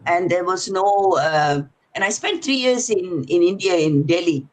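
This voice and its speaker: Halting man, native Tamil speaker. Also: high-pitched, expressive. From Singapore. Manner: halting, with many fillers. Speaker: audience participant. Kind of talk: casual chat. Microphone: webinar video call.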